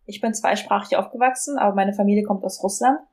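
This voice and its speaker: A woman's voice: medium pitch, fast, darkish tone. A young woman, a fitness coach, giving casual answers as a guest in a podcast interview.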